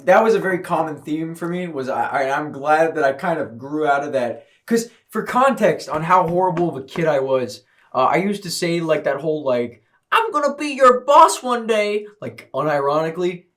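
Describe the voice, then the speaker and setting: A man's voice: a little high, expressive, normal speed, fairly bright tone. A young man on a casual podcast, rambling and poking fun at himself with lots of "like" fillers. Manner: rambling with many "like" fillers, self-mocking. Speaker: podcast co-host. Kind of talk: casual chat.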